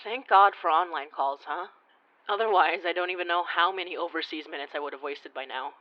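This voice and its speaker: A woman's voice: lowish voice, brisk, highs cut off, lightly acted. A woman playing a friendly, weary investigator of the supernatural, speaking in a casual, conversational, sometimes hesitant way. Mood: casual, distracted. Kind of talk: naturalistic audio drama acting.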